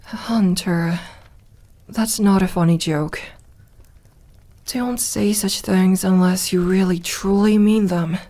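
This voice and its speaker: Woman playing a lonely vampire girl, ASMR roleplay, soft, guarded, tender, halting, very unhurried. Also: mid-range voice, fairly even intonation. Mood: curious, teasing. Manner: soft, hesitant, halting delivery with sighs. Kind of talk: intimate ASMR roleplay acting.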